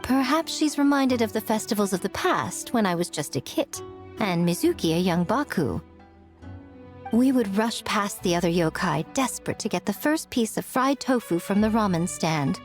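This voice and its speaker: A woman's voice: medium pitch, animated, normal speed, faint music behind, close to an everyday voice. A woman playing a centuries-old yokai lady in a video game, sly, elegant and teasing, with a poised, theatrical manner. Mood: musing. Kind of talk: video game character acting.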